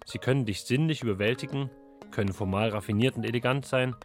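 A man's voice: medium pitch, fast talker, soft background music. A man reporting for a radio culture magazine in scripted narration, reflective and posing rhetorical questions.

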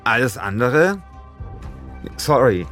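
A man's voice: medium pitch, very animated, slow, fairly bright tone. Male, mid-range voice, casual spontaneous talk, colloquial, insistent, faint music behind. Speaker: crime audio drama writer.

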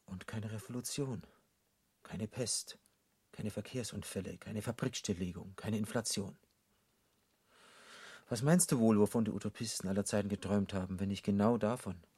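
An actor playing an urbane, ironic devil in a literary radio drama, with a husky voice and a didactic, rhetorical manner. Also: male voice, fairly low pitch, normal speed, warm tone, dry recording. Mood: mocking, rhetorical.

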